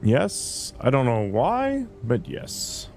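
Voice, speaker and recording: A man's voice: mid-range voice, wildly swooping pitch, takes it slowly, a little mellow, faint music behind. A man casually commenting while playing a video game, rambling and joking offhand. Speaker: gameplay streamer. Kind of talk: casual let's-play commentary.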